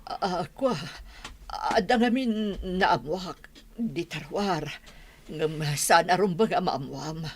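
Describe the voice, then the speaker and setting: A woman's voice: on the low side, slow pace, a little hiss or hum. A woman with an Ilocano accent playing an anxious, cautious middle-aged mother in a radio drama, hesitant and fretful. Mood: evasive, worried.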